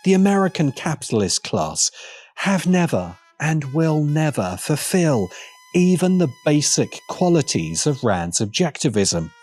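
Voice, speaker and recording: A man's voice: a little high, sing-song, a little slow, darkish tone. A man delivering scripted, opinionated commentary in an emphatic, sardonic way. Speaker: video essayist. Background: music.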